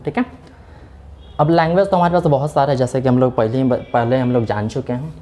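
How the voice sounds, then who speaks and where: A man's voice: medium pitch, steady tone, quick pace, dark tone. Male teacher explaining patiently. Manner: explaining step by step, keeps saying "theek hai"; asks questions and answers them himself. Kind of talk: classroom lecture.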